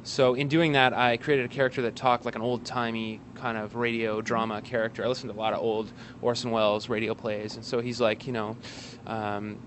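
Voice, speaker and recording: A man giving a casual interview as a guest, speaking in a hesitant, halting way with wry asides, outdoors in an alley. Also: mid-range voice, flat delivery, a bit bright. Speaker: guest, actor and playwright. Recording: street noise, traffic outdoors.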